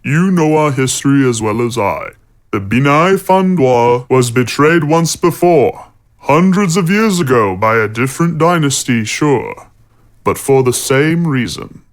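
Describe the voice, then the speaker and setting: Man playing a slow-spoken, condescending warrior-monk villain. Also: medium pitch, expressive, natural voice. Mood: smooth, persuasive. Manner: measured, condescending, preaching. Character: middle-aged male warrior-monk villain, calm and persuasive. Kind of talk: fantasy audio drama acting.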